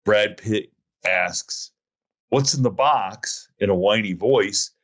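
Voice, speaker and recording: A man's voice: on the low side, slow, gravelly, fairly bright tone. A man hosting a podcast, telling a long story in a casual, rambling, self-mocking way. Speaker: podcast host. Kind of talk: casual chat.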